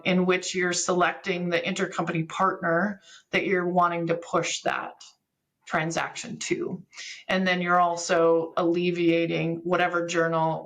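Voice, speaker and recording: A woman's voice: on the low side, fairly bright tone, poor audio. A woman giving a conversational technical explanation as an expert guest, walking through things step by step with examples. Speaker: podcast guest, business software expert.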